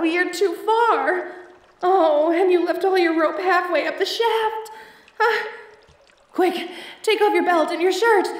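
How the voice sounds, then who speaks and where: Woman acting a theatrical femme fatale, roomy echo. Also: very high voice. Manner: theatrical, pleading or teasing. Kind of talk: audio roleplay acting addressed to the listener. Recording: roomy echo, distant from mic.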